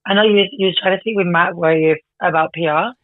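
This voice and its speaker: A woman from a record label, chatting casually on a phone call, her voice a bit croaky. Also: on the low side, fast talker, no echo. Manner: bright, casual, says "babes" and "reckon". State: slightly croaky voice. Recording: muffled phone-call audio.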